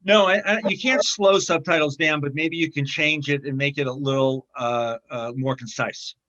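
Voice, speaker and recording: A man speaking as a guest in a casual interview, talking haltingly with many fillers and restarts. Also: on the high side, fairly even intonation, a bit bright, dull audio. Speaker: film distributor, interview guest.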